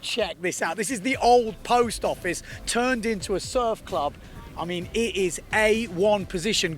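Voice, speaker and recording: A man presenting a windsurfing event report with energy, explaining things to viewers emphatically. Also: high-pitched. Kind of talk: enthusiastic sports report presenting.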